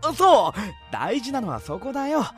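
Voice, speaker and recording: A woman playing an easygoing, scheming older high school boy, breezy and glib, in a youth comedy audio drama. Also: big pitch swings, normal speed. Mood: glib, upbeat. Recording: light music behind.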